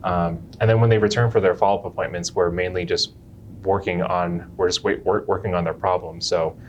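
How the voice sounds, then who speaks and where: Young male chiropractor for an interview, very low voice, unhurried, restarts his sentences, faint room hiss behind. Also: fairly even intonation, highs cut off. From Jamaica. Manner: unhurried, restarts phrases often. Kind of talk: interview answers.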